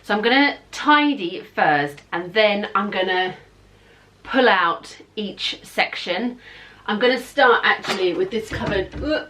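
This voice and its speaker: A woman's voice: mid-range voice, fairly bright tone. A woman in her thirties talking casually and informally as she explains things like a home vlogger. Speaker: parenting vlogger. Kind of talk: casual chatty home tour.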